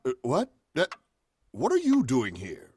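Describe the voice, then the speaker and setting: A man's voice: medium pitch, wildly swooping pitch, measured pace, a little mellow, breathy edge, exaggerated voice. A man playing a smug real estate agent, condescending and a little hesitant, in 1940s radio drama style. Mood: indignant, dismissive.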